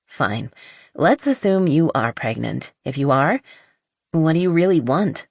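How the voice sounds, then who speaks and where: A woman voicing a blunt, honest, skeptical but loyal best friend in an audiobook, with a dry teasing manner and husky voice. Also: sits low, lively intonation, measured pace, no room sound, full character voice. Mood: brisk, rational.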